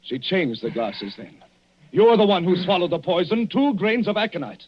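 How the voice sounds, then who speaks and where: An actor playing an accusing, unpitying doctor in an emphatic 1940s radio drama style. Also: male voice, sits high, sing-song, moderate pace. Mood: cold accusing. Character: accusing, unpitying male doctor exposing a killer. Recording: dull vintage radio broadcast recording.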